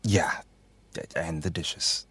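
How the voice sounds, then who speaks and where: A man's voice: low voice, fairly even intonation, takes it slowly, pushed and bright, slight background noise, exaggerated voice. A young man acting in an audio drama as a twin brother, speaking hesitantly and apologetically. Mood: remorseful.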